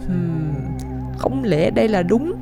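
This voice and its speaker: A woman's voice: medium pitch, lively intonation, slow pace, vocal fry. A woman voicing a talking rooster scientist in a cartoon, secretive and earnest, with a southern Vietnamese accent and an explanatory, dramatic delivery. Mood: suspicious, musing. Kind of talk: animated cartoon dialogue. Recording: music behind the voice.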